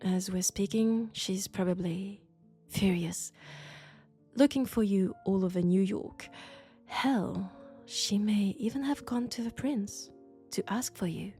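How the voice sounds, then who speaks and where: Woman acting a sophisticated female vampire, French accent, dark mellow voice, seductive, condescending, unhurried, soft music behind. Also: mid-range voice. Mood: coaxing, menacing. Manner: slow, deliberate, seductive, condescending. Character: elegant, sophisticated, coolly curious female vampire scholar. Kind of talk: intimate roleplay audio drama monologue. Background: faint dark ambient music.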